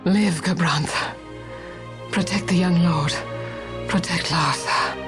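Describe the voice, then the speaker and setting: Casting: stoic female judge-warrior, low and very slow, music prominent behind her. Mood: grim resolve. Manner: terse, grave, deliberate. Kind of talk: dramatic video game cutscene acting. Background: loud dramatic music.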